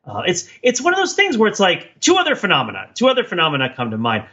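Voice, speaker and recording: A man's voice: high voice, wildly swooping pitch, fast, pushed and bright, husky, lo-fi sound. A man co-hosting a casual analytical podcast, explaining things at length in a discursive, professorial way. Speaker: podcast co-host.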